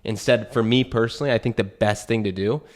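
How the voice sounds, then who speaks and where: Earnest young American man, lowish voice. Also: steady tone, fast. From United States. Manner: earnest, reflective, thinks aloud with many "like"s. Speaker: podcast host and new real estate agent. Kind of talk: casual conversation. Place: Portugal.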